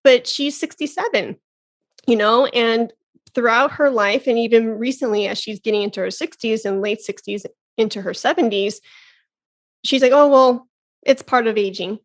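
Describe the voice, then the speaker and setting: A woman's voice: a little high, normal speed. A woman in her thirties hosting a podcast, chatting casually and exclaiming often with laughs. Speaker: podcast host. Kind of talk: casual interview chat.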